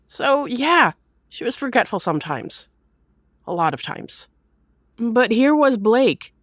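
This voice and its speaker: A woman's voice: fairly high pitch, dry recording. Woman narrating a story, very dry recording. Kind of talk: scripted third-person story narration.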